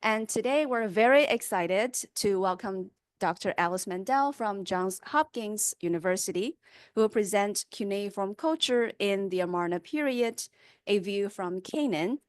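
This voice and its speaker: A woman of middle pitch briskly makes announcements before a lecture. She sounds dry and close. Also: lively intonation, no echo. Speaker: lecture series organizer. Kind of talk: event announcements.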